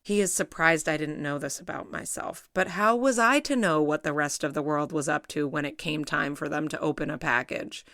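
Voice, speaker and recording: Middle-aged woman, low creaky voice, dry close read, wry and reflective essay narration. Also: normal speed, few pauses, fairly bright tone, no echo. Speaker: essay reader, psychologist. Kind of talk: reading her own personal essay aloud.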